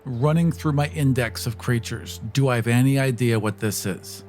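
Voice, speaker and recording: A man's voice: mid-range voice, moderate pace, a little mellow. A man playing a tabletop roleplaying game, casually narrating what his character does. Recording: music playing behind the voice. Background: background music.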